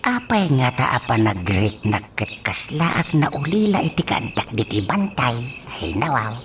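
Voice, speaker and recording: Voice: medium pitch, very animated, normal speed. An actor in an Ilocano radio drama playing a restless, lovesick person lying awake and muttering with sighs and moans, over a noisy track with clicks and insects. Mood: wistful, brooding. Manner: sighing, moaning, exclamatory muttering.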